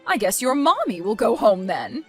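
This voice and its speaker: A woman's voice: on the high side, very animated, moderate pace, darkish tone, breathy edge, soft background music. A woman voicing a stern, disappointed mother nearing retirement age in a dramatized story, scolding with weary firmness. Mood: sarcastic, hurt.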